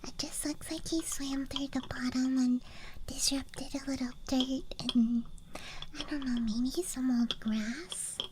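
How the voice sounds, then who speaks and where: A young woman doing ASMR in a breathy whisper, musing in a hushed, childlike way, close to the microphone. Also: high voice, steady tone, takes it slowly, slight background noise. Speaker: ASMR streamer playing a dice goblin persona. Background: night-time campground ambience.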